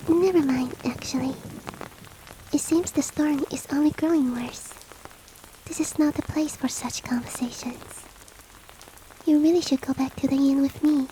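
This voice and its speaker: A woman voicing a courteous, coaxing monster-girl maid in a soft, breathy, whispery roleplay ASMR style with formal, old-fashioned politeness. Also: high-pitched, fairly even intonation, normal speed, long pauses, noisy background, lightly acted. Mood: gently insistent. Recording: rain ambience behind the voice. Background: falling rain.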